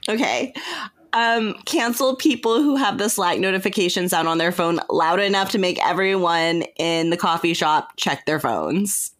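A woman's voice: lowish voice, moderate pace, a bit bright. A woman co-hosting a podcast, chatting casually in an informal, emphatic way and often saying "like". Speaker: podcast co-host.

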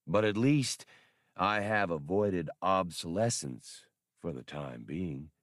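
A man reading an audiobook, voicing an old male labor droid who speaks in a polite, formal, slow drawl. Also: low voice, lively intonation, no room sound, clean studio sound. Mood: calm. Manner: formal, deferential.

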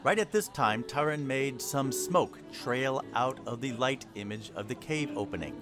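A man's voice: mid-range voice, moderate pace, a bit bright. A man narrating a scripted fantasy audio drama in the role of storyteller. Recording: clear music behind the voice. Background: music.

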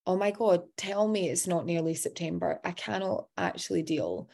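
A woman's voice: on the low side, moderate pace, vocal fry. A woman in her forties hosting a podcast, talking with open enthusiasm and affirming her guest in a casual interview. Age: 40s. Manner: warmly enthusiastic toward guest. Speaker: podcast host.

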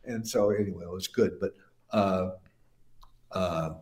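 A man's voice: sits low, steady tone, a little slow, a little mellow. An older man, a guest musician, telling long, rambling stories in an interview and often restarting his sentences. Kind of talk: casual interview storytelling. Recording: roomy echo.

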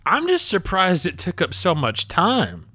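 A man of thirty talks wrestling on a podcast in a bright, lively voice whose pitch swings freely. He laughs often and slips into little voices when he quotes people. The sound is close and dry. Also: unhurried, slightly rough, no room sound. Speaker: podcast co-host. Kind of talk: casual wrestling chat.

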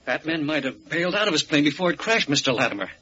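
Male, fretful henchman-secretary, highish tense voice, vintage radio drama, dulled recording. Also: sing-song, fast, sharp tone, husky, heavily acted. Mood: worried. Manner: nervous, fretful. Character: slight, bald male secretary to a crook, anxious. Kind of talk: 1940s radio serial acting. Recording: dull old radio recording.

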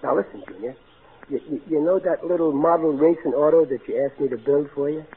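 A man's voice: fairly high pitch, brisk, breathy edge. An actor playing a blundering, good-natured working-class father in old radio comedy, with folksy ungrammatical speech and stammering. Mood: proud, sheepish. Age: middle-aged. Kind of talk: 1940s radio comedy acting. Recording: tinny, narrow-band old radio recording.

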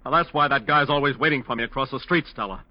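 An actor playing a desperate, cornered defense lawyer in a 1940s radio drama, agitated, evasive and afraid. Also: male voice, medium pitch, fast talker, no room sound, close to an everyday voice. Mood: anguished, afraid. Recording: muffled old radio recording.

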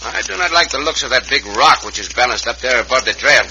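Man as a fast-talking gallant cowboy hero, noisy recording. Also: medium pitch, lively intonation, lo-fi sound, lightly acted. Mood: composed. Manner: formal phrasing without contractions. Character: gallant, commanding Mexican cowboy hero, calm under danger. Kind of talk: old-time radio western acting. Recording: noisy old radio recording.

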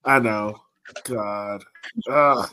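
A man's voice: lowish voice, very slow, sharp tone. Casual American man hosting a podcast. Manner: colloquial, repeats phrases for emphasis. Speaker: podcast host. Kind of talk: casual sports podcast chat.

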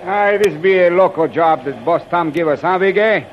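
A man's voice: sits high, normal speed, highs cut off, close to an everyday voice. Man playing a broken-English island guard, old noisy radio. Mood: chatty, curious. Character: simple, easygoing island guards combing a beach. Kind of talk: classic radio drama acting.

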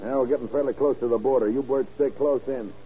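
An actor playing a tough, stubborn, jittery American smuggling boss in old-time radio drama style. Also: male voice, mid-range voice, fairly even intonation, quick pace, natural voice. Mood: gruff, irritable. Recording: muffled old radio recording.

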